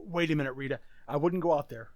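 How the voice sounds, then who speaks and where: A man playing a haggard, anxious husband in an amateur radio play, speaking in a halting, troubled way. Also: a little high, darkish tone, dry recording, natural voice. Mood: gently pleading.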